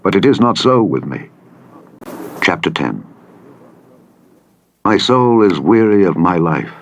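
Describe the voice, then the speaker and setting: A man narrating scripture from a script in a solemn, measured, formal delivery. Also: deep voice, big pitch swings, lo-fi sound. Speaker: audiobook Bible narrator. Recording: engine and vehicle noise over playback. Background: truck simulator engine noise.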